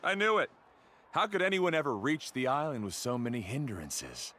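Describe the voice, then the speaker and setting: A boastful, bright-voiced young rival. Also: male voice, mid-range voice, big pitch swings, normal speed, slight background noise. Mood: mocking. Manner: boastful and mocking. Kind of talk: game voice acting.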